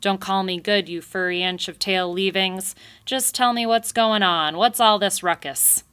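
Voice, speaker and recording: A woman's voice: mid-range voice, fairly even intonation, measured pace, smooth, close to an everyday voice. A woman voicing a grumpy old male porcupine who barks rude insults at everyone. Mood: angry insulting. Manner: shouting insults.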